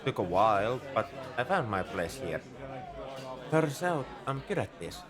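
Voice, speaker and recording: A man's voice: medium pitch, sing-song, measured pace. A man playing a shrewd, easygoing bartender at a space outpost in a conversational, knowing ASMR roleplay monologue. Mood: reflective, musing. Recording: crowd chatter behind the voice. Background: bar crowd murmur.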